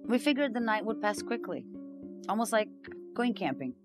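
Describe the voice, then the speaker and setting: A woman's voice: mid-range voice, big pitch swings, normal speed, lightly acted. An actress playing an earnest, weary homeless migrant woman, confiding her story in a conversational audio-drama monologue. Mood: reflective, rueful. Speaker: narrator-protagonist of an audio drama. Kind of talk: first-person dramatic monologue. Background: plucked guitar music.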